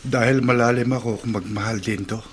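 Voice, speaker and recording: A man's voice: medium pitch, fairly even intonation, a little fast, natural voice. An actor playing a lovesick young man in a hospital bed who speaks earnestly and eagerly. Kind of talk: radio drama acting.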